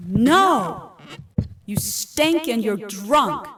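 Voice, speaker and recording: A woman's voice: fairly high pitch, expressive, extremely slow, heavy background noise. A woman playing a commander's scolding wife in an audio drama. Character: constable's tall wife, scolding with feigned resistance.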